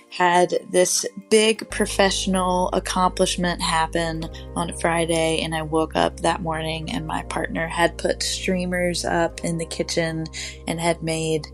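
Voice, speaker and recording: A woman tells a personal anecdote slowly in a low, even, nearly flat voice. Soft guitar music sits behind her. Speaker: caller sharing a story.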